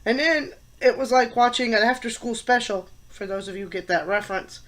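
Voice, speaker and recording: A woman in her fifties with a head cold, stuffed up, giving her opinions in a casual class vlog and stressing words between pauses and fillers. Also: a little high. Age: 50s. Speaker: college teacher and student.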